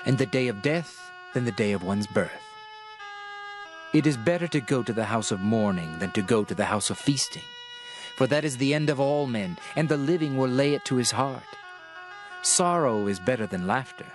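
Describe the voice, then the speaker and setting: A man giving a dramatized reading of King James scripture in a solemn, measured, deliberate way. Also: lowish voice. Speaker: scripture narrator. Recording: music behind the voice. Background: music.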